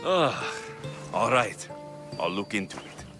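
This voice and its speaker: An actor playing a rugged, blunt but protective mercenary, a reluctant hero, in a dramatic game cutscene. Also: male voice, on the low side, big pitch swings, slow pace, music in the background. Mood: gruff reluctance. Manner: blunt, dry. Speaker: lead character in an action-adventure game.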